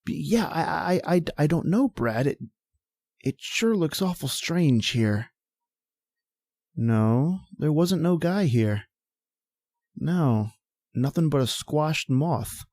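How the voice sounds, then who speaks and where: A man's voice: fairly high pitch, animated, slow pace, pauses often, darkish tone, no echo. Man as a shaken, folksy caller, dry sound. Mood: uneasy, bewildered. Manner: folksy, hesitant, colloquial. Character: shaken, folksy working man phoning in a discovery. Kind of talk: old-time radio drama acting.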